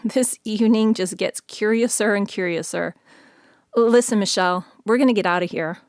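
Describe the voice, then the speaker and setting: A woman's voice: medium pitch, moderate pace, dry recording, close to an everyday voice. Woman acting sensible, casual radio host, dry sound. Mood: casual, friendly. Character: sensible, friendly female radio show host on location. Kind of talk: radio play dialogue.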